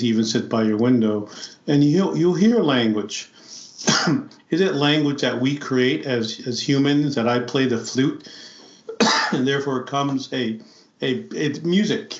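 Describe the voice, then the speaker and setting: A man from South Dakota speaking as a podcast guest, thoughtful and halting, often repeating his words as he reflects. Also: mid-range voice, roomy sound, highs cut off. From South Dakota, United States. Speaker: podcast guest, flute player. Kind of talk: reflective conversation.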